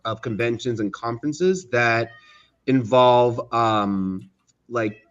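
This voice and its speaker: A man's voice: medium pitch, slow pace, clean tone. Man with slightly dull sound, casually recounting. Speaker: interview guest, studio co-founder.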